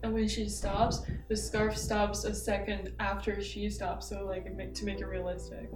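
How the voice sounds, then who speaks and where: A young woman from China co-hosting a casual campus podcast, chatting with quick laughing asides. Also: medium pitch, little pitch movement, far from the mic, over music. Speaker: podcast co-host. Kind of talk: casual chat. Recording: roomy echo, away from mic.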